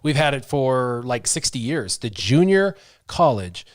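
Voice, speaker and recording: A man's voice: medium pitch, very animated, a little slow, no echo. American man bantering in a dry-sounding space. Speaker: show host. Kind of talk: casual chat.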